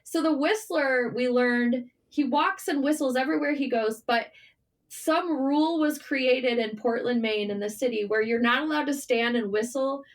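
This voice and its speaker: High-voiced woman, candid and animated. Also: moderate pace. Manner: animated, informal, swearing, self-deprecating. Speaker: guest, writer and journalist. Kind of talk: casual, candid interview chat.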